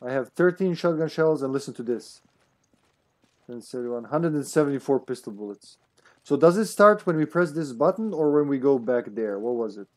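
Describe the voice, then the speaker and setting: A man's voice: mid-range voice, expressive, darkish tone. A man in his thirties chatting casually and joking while streaming a co-op game from home. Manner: joking, chatty, quick laughs. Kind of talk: casual co-op gameplay chat.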